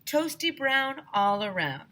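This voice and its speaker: A woman voicing panicking schoolkids from a children's storybook, yelling shrilly and urgently. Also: high voice, sing-song, slow, voice pushed up, full character voice.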